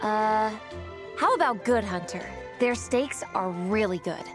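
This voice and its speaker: A woman's voice: mid-range voice, expressive, a little slow, music in the background, lightly acted. A woman playing a friendly, slightly hesitant young local in an anime-style game.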